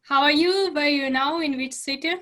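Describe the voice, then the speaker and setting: Casting: young woman, high bright voice, courteous formal interviewer on a webcam call. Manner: polite, slightly formal questions with pauses. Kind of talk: remote video interview. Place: small indoor room. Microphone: webcam on a video call.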